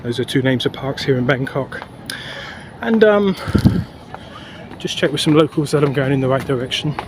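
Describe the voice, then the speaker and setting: A man's voice: medium pitch, moderate pace. Out-of-breath man rambling outdoors. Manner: rambling, chatty, with frequent fillers. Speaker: travel vlogger. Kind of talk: casual walking commentary. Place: outdoors on city streets and a park walkway. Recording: outdoor mic with traffic noise. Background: traffic and city ambience.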